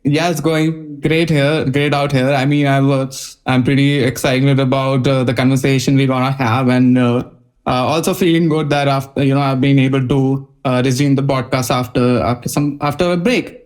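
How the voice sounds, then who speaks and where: A man hosting a casual interview podcast, speaking in halting, hesitant phrases with repetitions. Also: mid-range voice, steady tone, darkish tone. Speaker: podcast host.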